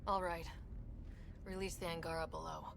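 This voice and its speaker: A woman's voice: on the low side, flat delivery, moderate pace, creaky, background noise. An actress playing a determined young woman leading a space expedition in a video game, direct and commanding. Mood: decisive. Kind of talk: video game cutscene acting.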